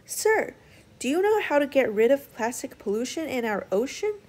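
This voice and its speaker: Young male turtle hero for a storybook, high, eager, polite, earnest played by a woman. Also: very animated, moderate pace, a little mellow. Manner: eager, pleading, exclamatory.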